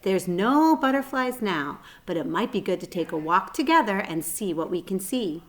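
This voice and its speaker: A woman voicing an excitable, motherly female animal in a children's storybook, exclaiming and repeating phrases for emphasis. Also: medium pitch, normal speed, natural voice. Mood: grudging. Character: Lady Sue, excitable scolding motherly female tree-climbing animal. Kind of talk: children's storybook read-aloud.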